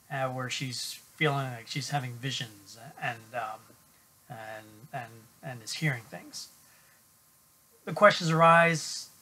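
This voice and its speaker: Middle-aged male film reviewer, bright and slightly husky tone, halting delivery full of ums, webcam at home. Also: medium pitch. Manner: halting, many uhs and restarts. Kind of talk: unscripted film review to camera. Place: small room at home, indoors.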